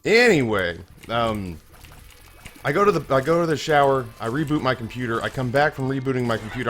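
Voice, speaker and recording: A slightly hoarse young man hosting a gaming channel, telling a long, self-mocking story with many restarts while he plays. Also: medium pitch, fairly bright tone. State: a little hoarse. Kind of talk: casual let's-play commentary.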